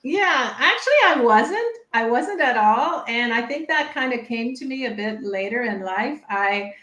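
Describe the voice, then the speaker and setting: A middle-aged woman from Canada, raised speaking German too, talking casually as an interview guest, lively and laughing easily. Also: a little slow. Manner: lively, laughs often, rising and falling pitch. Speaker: guest, immigration lawyer. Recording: echoey room.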